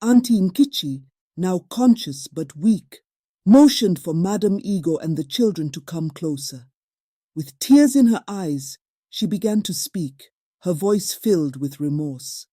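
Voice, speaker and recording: Woman, folktale narrator, lowish pitch, very mellow and warm timbre, scripted storytelling, dry recording. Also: animated, measured pace, dry recording.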